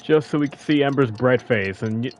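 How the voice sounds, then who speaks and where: Casting: male gaming creator, mid-pitched, loose off-the-cuff commentary, thinking aloud, game music behind. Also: fairly quick. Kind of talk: casual gameplay commentary.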